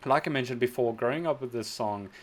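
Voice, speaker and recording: Man, young adult, effusive, mid-pitched, casual reaction chat. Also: fast talker. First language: Afrikaans. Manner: effusive, enthusiastic, thinks aloud with restarts. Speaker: music reaction host.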